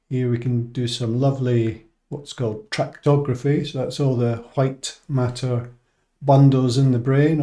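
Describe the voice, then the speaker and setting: A man's voice: medium pitch, warm tone. A Scottish man who teaches English and works in science, giving an informal explanatory talk to viewers. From Scotland.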